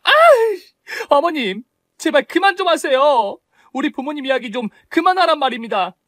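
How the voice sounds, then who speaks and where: A man's voice: on the high side, lively intonation, normal speed, no echo, clean studio sound, voice pushed up, lightly acted. A man voicing a young wife, raised and indignant. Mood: pleading. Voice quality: indignant, pleading. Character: young wife standing up to her in-law.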